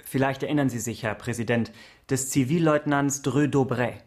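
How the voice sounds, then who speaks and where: A man's voice: medium pitch, moderate pace, darkish tone, lightly acted. A man playing a courteous, persistent police investigator, speaking formally and earnestly in a radio crime drama.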